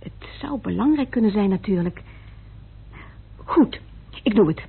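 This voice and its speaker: An actress playing a polite, curious politician's wife in a radio play, with an expressive, questioning delivery. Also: female voice, mid-range voice, unhurried, vocal fry. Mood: surprised, exasperated. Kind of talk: Dutch radio drama acting. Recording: tinny old radio recording, faint noise.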